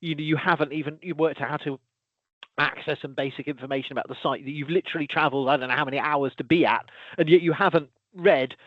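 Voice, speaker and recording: A man chatting casually as a host, with a fairly high voice. He talks in an animated, self-interrupting way, with exasperated asides. The audio is dry and a little dull, with no room around it. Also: fast, no room sound. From lives in Wales. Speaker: podcast host, archaeology professor. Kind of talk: casual conversation.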